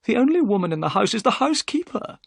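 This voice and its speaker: A narrator voicing a nervous, talkative gentleman who chatters eagerly in a raised, fussy voice. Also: mid-range voice, big pitch swings, fast, vocal fry, slightly rough, no echo, voice pushed up, exaggerated voice.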